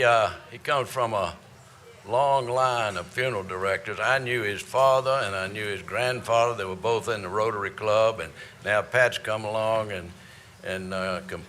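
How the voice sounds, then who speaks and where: An older man, introducing the next speaker in a halting, rambling way. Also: lowish voice, bright, forceful tone, a little hiss or hum. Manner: halting and rambling, with many fillers. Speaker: event moderator introducing speakers.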